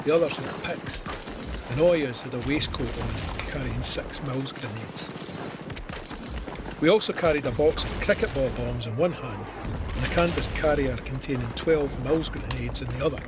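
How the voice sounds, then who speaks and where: A man's voice: mid-range voice, background noise, close to an everyday voice. An actor playing a Scottish First World War corporal, telling his memories plainly in an audio drama. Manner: plain-spoken, matter-of-fact storytelling. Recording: artillery and gunfire effects behind.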